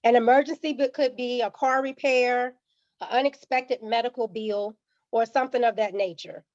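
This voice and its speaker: A woman, under the weather with a slightly raspy voice, giving a teacherly personal finance presentation to a church community and often checking in with "okay?". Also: on the high side, fairly even intonation, moderate pace, darkish tone, no echo, muffled recording. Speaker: guest speaker on personal finance.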